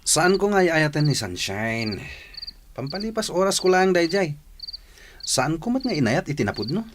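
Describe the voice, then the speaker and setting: A man's voice: fairly high pitch, big pitch swings, normal speed, background noise. A man playing a callous, careless young womanizer in a radio drama, with an Ilocano accent. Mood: dismissive.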